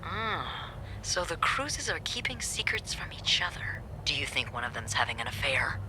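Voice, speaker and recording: A woman's voice: sits low, sing-song, moderate pace, bright, forceful tone, a little hiss or hum, full character voice. A woman playing a teasing, gossipy young hotel receptionist in a video game, with a sardonic, playful, breezy manner. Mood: teasing, nosy. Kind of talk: naturalistic video game voice acting.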